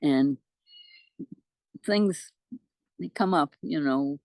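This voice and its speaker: A woman in her nineties from the United States chatting casually as an interview guest, halting and good-humored. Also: sits low, a little mellow, no echo. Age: 90s. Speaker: interview guest, amateur postcard poet. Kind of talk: casual chat.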